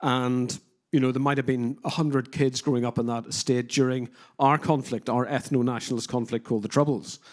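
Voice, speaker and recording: A man with a Northern Irish accent, speaking as a criminologist on a conference panel, forceful and opinionated with frequent fillers and restarts. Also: mid-range voice, steady tone, a little fast. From Northern Ireland.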